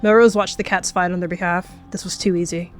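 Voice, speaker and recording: Female roleplay narrator, slightly low pitch, storytelling delivery, light music bed. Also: fairly even intonation, a little fast.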